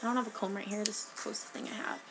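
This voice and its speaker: A woman's voice: mid-range voice, fairly even intonation, racing, loud music behind the voice. A teenage girl rambling hyperactively in a casual home webcam vlog, sniffly from a cold and jumping between topics. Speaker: vlogger.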